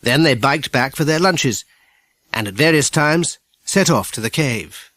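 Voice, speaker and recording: A British woman narrates a children's adventure story in a scripted audiobook style. Her voice sits very low for a woman, and the sound is dry and close. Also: lively intonation, unhurried, a bit bright, no room sound. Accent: British English.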